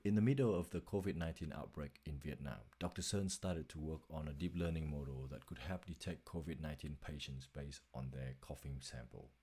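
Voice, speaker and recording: A man's voice: a bass voice, brisk, few pauses, warm tone, slightly rough. A man reading a scripted narration for a slide presentation as its presenter.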